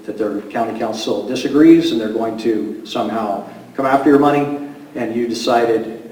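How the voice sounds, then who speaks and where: A man's voice: lowish voice, darkish tone. A man giving assured, reassuring remarks at a public meeting. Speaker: association representative. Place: meeting room. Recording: echoey room from far off.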